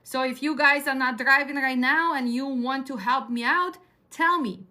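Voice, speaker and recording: A woman's voice: high-pitched, normal speed, pushed and bright. A middle-aged woman with a Ukrainian accent, lecturing a class on trucking costs in a direct way and putting questions to her students. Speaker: dispatch trainer.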